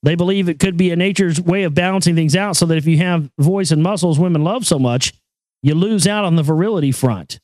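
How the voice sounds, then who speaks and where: A man with a high voice hosting a casual talk show, joking crudely and rambling over news items. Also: fairly quick, darkish tone, dry recording. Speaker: show host.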